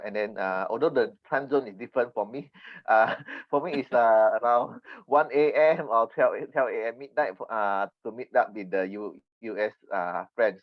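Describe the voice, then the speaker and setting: A Malaysian man, a native Mandarin speaker, answering podcast interview questions earnestly and haltingly as he searches for words. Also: lowish voice, dry recording. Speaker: podcast guest. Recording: dull, slightly lo-fi remote connection.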